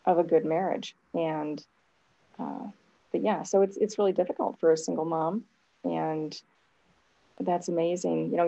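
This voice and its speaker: A woman's voice: sits low, gravelly, warm tone, highs cut off. A woman in her fifties hosting a talk over a video call from home, encouraging her guest. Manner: encouraging, many fillers. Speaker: host. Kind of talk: casual video call chat. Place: home room.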